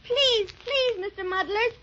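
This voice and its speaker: A woman's voice: very high-pitched, measured pace, bright, forceful tone, full character voice. A woman playing a sweet, polite little girl, earnest and pleading, in a 1930s children's radio serial. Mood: polite, coaxing. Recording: muffled 1930s radio recording.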